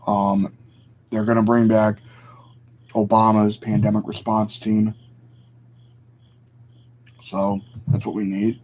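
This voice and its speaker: A man's voice: lowish voice, a little mellow, slight background noise, low-quality recording. A man vlogging in a casual, rambling rant, opinionated and often correcting himself mid-sentence.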